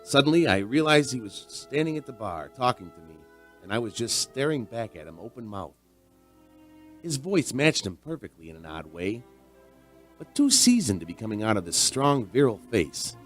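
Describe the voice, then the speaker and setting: Chatty neighborhood barkeep for radio drama, played by a man: folksy, rambling, mid-pitched, very varied intonation, music underneath. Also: very animated, moderate pace, lightly acted. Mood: reflective, curious. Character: chatty, folksy male bartender and bar owner, storyteller.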